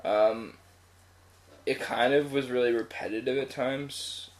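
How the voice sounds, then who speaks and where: A man's voice: mid-range voice, steady tone, takes it slowly. A man on a review panel, sharing his opinions informally in casual conversation.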